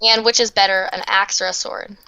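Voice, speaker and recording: Girl w a tired, worn-out voice, teasing and giggly, bright sharp tone. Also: mid-range voice, fairly even intonation, fairly quick, clean tone, rough recording. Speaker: guest player. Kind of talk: casual chat.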